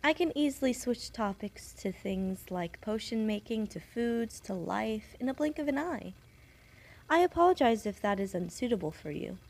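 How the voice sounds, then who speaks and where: A woman playing a kindly, chatty young witch who works as a nurse assistant in an audio roleplay, gentle, polite and a little flustered. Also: on the high side, expressive, normal speed. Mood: attentive, apologetic. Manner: gentle, polite, apologetic, slightly stammering. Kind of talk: audio roleplay addressed to the listener.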